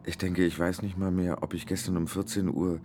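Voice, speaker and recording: An actor playing an anxious, brooding man in his fifties, halting and deadpan in a radio play monologue. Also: low-pitched, slight background noise, natural voice. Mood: ruminating. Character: anxious, ruminating 52-year-old male emergency patient, inner monologue. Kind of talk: German radio play acting.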